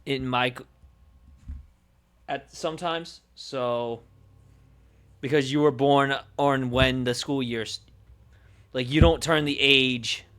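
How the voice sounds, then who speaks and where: A man livestreaming casually, thinking aloud in a halting way that moves between muttering to himself and explaining. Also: mid-range voice, fairly even intonation, a bit bright, clear, resonant tone.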